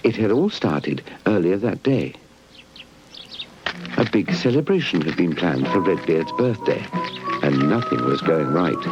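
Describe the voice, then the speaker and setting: A man's voice: sits low, very animated, a little slow. A British man narrating a scripted children's puppet story, on a muffled old videotape. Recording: muffled old VHS tape. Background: music.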